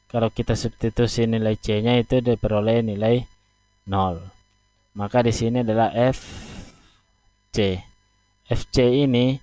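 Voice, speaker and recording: A man teaching math, talking through a graph step by step with slow, deliberate pauses. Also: mid-range voice, a little mellow, dry recording. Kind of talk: explaining at a board. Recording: dull, dry lo-fi recording.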